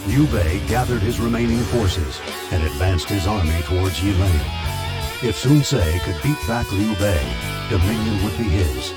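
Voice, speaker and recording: A man narrates a historical war game in a formal, solemn style. His voice is low, and loud music with plucked strings plays behind it.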